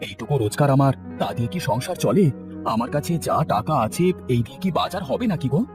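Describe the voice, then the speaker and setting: Man acting a hard-up cartoon son, rapid speech, exasperated and pleading, music behind. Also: medium pitch, big pitch swings. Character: poor, put-upon grown son, street snack seller. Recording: faint music bed behind.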